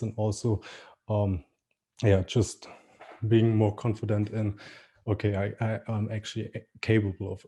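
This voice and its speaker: German-accented man, dark mellow voice. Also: on the low side. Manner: halting, many fillers and restarts, repeats "with that being said". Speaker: marketing webinar presenter. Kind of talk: webinar presentation.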